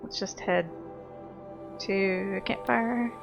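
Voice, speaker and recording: A woman's voice: medium pitch, steady tone, takes it slowly, vocal fry, over music. A woman giving casual video game commentary, thinking aloud in an informal, self-deprecating way. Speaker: video game player and host of a playthrough.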